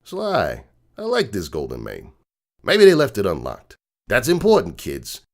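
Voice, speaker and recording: Man voicing a cynical wiseguy crook horse, animated swooping delivery. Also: fairly low pitch, unhurried, a little hiss or hum, full character voice. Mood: wry, instructive. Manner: slangy wiseguy, cynical, digressing. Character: gruff streetwise crook horse uncle telling bedtime stories. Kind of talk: dramatic reading of a comic bedtime story. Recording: music bed behind the voice.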